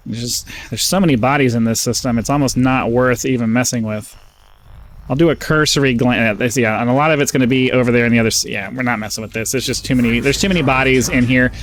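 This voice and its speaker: A man's voice: mid-range voice, fairly quick, a little hiss or hum. Rambling nasal man over game sounds. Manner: rambling, easily distracted, frequent fillers. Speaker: gaming channel host. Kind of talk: rambling gameplay commentary.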